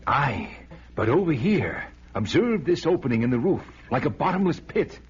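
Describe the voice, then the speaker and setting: An actor playing a devout, excitable warrior archer in a fantasy radio drama, with archaic, dramatic delivery. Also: male voice, fairly high pitch, normal speed. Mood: awed, grave. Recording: muffled radio recording, echoey hall, music.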